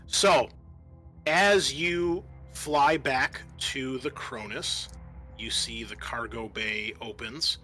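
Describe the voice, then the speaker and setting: Male game master, middle pitch, crisp bright edge, tabletop rulings and narration with music underneath. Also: slow.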